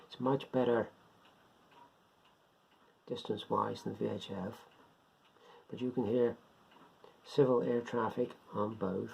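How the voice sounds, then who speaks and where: A man giving an informal, unscripted beginners' explainer in a rambling, step-by-step way, repeating himself, with a gravelly, husky voice. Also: on the low side, steady tone, slow pace, pauses often, a little mellow, slight background noise. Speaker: hobbyist presenter of a beginners' guide. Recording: dull lo-fi home recording.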